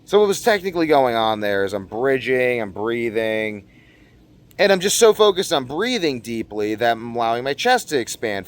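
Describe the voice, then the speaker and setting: A young man talking casually to camera in a rambling, slangy vlog monologue, animated and given to acting out what people said. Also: mid-range voice. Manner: animated, exclamatory, slangy, acts out quotes. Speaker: vlogger.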